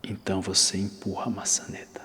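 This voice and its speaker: A Brazilian man narrating an ASMR guided visualization, calm and instructing, with long pauses between phrases. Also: sits low, heavy background noise. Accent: Brazilian Portuguese. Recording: long reverberant hall-like echo.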